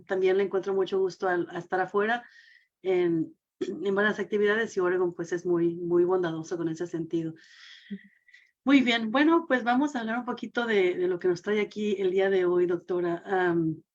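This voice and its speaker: A woman's voice: on the low side, normal speed. A woman hosting a community radio program, presenting and interviewing. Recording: dull, band-limited sound.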